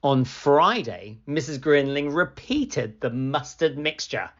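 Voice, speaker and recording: Slow, low-voiced woman reading a storybook. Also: animated, dull audio. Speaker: storybook reader. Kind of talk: read-aloud children's story narration.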